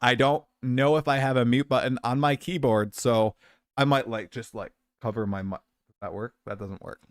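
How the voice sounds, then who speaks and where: A chatty man in his late twenties hosts a casual livestream, rambling and poking fun at himself while he's coming down with a cold. His voice is smooth and resonant at a middling pitch. It's dry and close on the mic. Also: steady tone, moderate pace, no echo. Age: 20s. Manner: chatty, self-deprecating, rambling. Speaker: streamer host.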